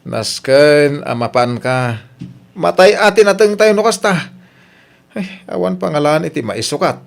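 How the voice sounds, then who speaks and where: A man's voice: fairly high pitch, lively intonation, unhurried, gravelly. A man with an Ilocano Filipino accent acting a plain-spoken, grumbling husband and uncle in a radio drama. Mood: pained, complaining.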